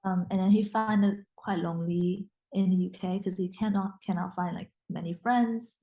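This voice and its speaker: A woman's voice: medium pitch, steady tone, moderate pace, dark tone, low-quality recording. A young woman whose first language is Mandarin, a guest in a casual interview, speaking English with frequent restarts and fillers. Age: young adult. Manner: hesitant, many restarts and fillers.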